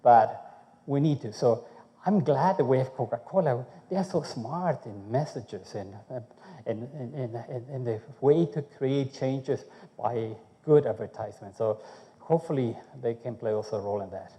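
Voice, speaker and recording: A man's voice: mid-range voice, normal speed, mellow, long reverb tail. A man from Chile, a food researcher giving a conference talk, speaking conversationally and often checking in with "okay?". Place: conference hall.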